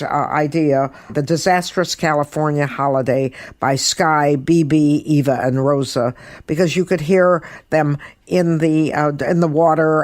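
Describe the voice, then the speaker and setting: A woman's voice: deep voice, no echo. Woman podcast host, emphatic, dry close sound. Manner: emphatic, repeats words for stress. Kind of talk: podcast presenting and review commentary.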